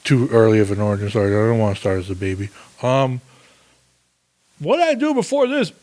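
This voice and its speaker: A man's voice: on the low side, big pitch swings, a little fast, a little mellow. A man in his thirties hosting a casual solo podcast, rambling and swearing with lots of fillers and self-mocking asides. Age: 30s. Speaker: podcast host.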